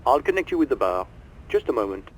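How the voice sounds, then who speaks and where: A man's voice: medium pitch, sing-song, fast, lightly acted, rough recording. A man playing a polite hotel receptionist in a video game, with a formal, businesslike manner.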